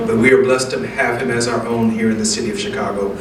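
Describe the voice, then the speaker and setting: A man's voice: mid-range voice, fairly even intonation. Echoey, distant American man giving a speech. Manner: measured, emphatic, rhetorical repetition. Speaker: guest speaker delivering remarks. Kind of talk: formal oratorical speech, preacher-like. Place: large echoey indoor event room. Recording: very echoey room, distant mic, faint noise.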